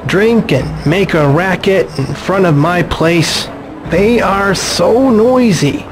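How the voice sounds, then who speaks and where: A complaining older male shopkeeper from a video game. His highish voice swoops a lot and drags slowly, with music behind it. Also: noisy background. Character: grumbling older male shopkeeper in a Japanese town. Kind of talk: English video game voice acting.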